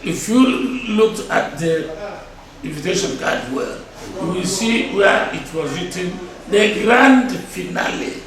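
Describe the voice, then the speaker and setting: A man of about seventy with a Nigerian Igbo accent, answering interview questions as a chief in a deliberate, emphatic way, repeating his phrases. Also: sits high, animated. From Nigeria (Igboland). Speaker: traditional title chief being interviewed. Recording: echoey, distant mic, crowd noise behind.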